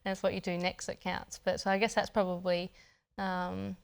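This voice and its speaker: A woman's voice: lowish voice, completely flat delivery, moderate pace, vocal fry, smooth. A woman, a footballer guest chatting casually in an interview, hesitant with many fillers and light laughs. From Australia.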